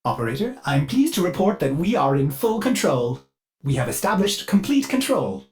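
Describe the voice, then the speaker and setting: A man's voice: sits high, big pitch swings, normal speed. A man voicing a fussy, eager ship artificial intelligence in a video game, polite and theatrical, with a manic edge under the courtesy. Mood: dutiful, reporting. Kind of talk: scripted video game character dialogue.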